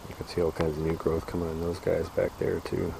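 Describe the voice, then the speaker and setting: A man with a very low voice walks through his farm fields, narrating casually to a handheld camera. He rambles easily with plenty of "uh"s. Crickets and insects buzz clearly in the outdoor background. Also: little pitch movement, a little fast, noisy background. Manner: easygoing, rambling, frequent "uh" fillers. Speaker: farmer giving a garden tour. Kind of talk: casual walking tour commentary. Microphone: handheld camera mic, walking.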